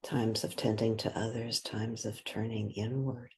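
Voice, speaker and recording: A woman leading a guided meditation, speaking gently and unhurriedly, with long pauses between short phrases. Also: a bass voice, level tone, a little hiss or hum.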